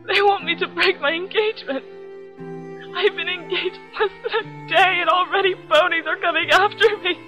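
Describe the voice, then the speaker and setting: A woman's voice: fairly even intonation, moderate pace, sharp tone, soft background music. A woman voicing a young female candy-shop pony from a cartoon, fiery and easily flustered, in a fan story. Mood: sobbing, pleading. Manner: stammering when flustered, shrill when angry.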